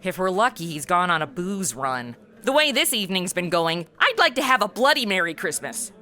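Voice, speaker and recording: A woman's voice: on the low side, sing-song, brisk, music in the background. A woman playing a blunt, exasperated, sarcastic superhero sidekick in a comic audio drama. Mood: dry, snarky.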